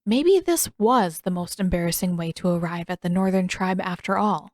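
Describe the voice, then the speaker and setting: A woman reading scripted fiction as an audiobook narrator, with expressive storytelling. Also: fairly low pitch, normal speed, dry recording, studio quality.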